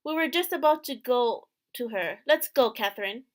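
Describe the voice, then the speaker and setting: A high-pitched, guarded young prince voiced by a woman from a story game. He is curt and stubborn, brushing people off with clipped, dismissive lines. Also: expressive, normal speed, close to an everyday voice. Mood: brisk.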